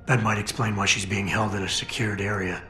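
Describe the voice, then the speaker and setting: A man's voice: lowish voice, steady tone, a little fast, pushed and bright. An actor playing a stoic, determined male cyborg operative in a video game, with a clipped, controlled delivery. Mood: terse, focused. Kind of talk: video game cutscene dialogue. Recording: roomy game cutscene with music. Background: background music.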